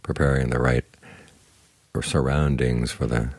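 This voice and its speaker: The very low, husky man you would hear at a morning meditation sitting, calmly guiding everyone in plain words, close and dry in the ear. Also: a little slow, no room sound. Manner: calm, instructive, plain-spoken. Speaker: Buddhist monk, meditation teacher. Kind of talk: short spoken Dhamma talk guiding meditation.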